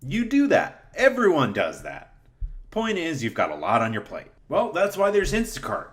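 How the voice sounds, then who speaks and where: A man's voice: a little high, huge pitch swings, normal speed, fairly bright tone. A man doing a tongue-in-cheek, mock-earnest comedic sponsor read for a podcast. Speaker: host reading sponsor ad.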